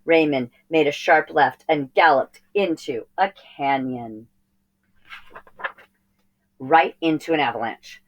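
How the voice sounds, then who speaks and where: A woman reading a children's story aloud in an expressive narrating style. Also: sits low, slow pace, long pauses, lo-fi sound. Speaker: storybook reader.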